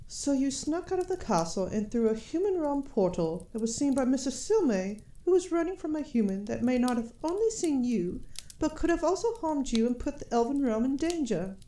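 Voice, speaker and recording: A woman playing an elf queen and mother, speaking in a formal, measured, gracious and gently teasing way in a fantasy audio roleplay. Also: sits high, sing-song. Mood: composed, probing. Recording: roomy, reverberant sound.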